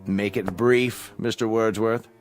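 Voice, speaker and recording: An actor in a radio drama playing a smug authoritarian chancellor, speaking in a condescending, oratorical manner. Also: male voice, on the low side, fairly even intonation, measured pace, soft background music, lightly acted. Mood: dismissive. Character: smug, authoritarian state chancellor, cold and mocking.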